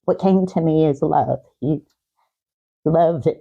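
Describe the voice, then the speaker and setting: A middle-aged woman giving personal answers as a talk show guest, in a halting, reflective and earnest way. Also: deep voice, creaky, mellow, slightly rough, highs cut off. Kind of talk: personal, conversational interview answers.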